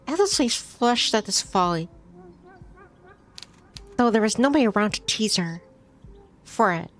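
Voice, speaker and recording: A woman streamer reading a visual novel aloud in expressive storytelling narration. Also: mid-range voice, a little slow.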